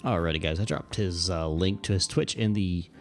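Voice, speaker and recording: Deep, gravelly male livestreamer. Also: normal speed, soft background music. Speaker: livestream host playing air traffic controller. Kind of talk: casual livestream chat with radio phraseology.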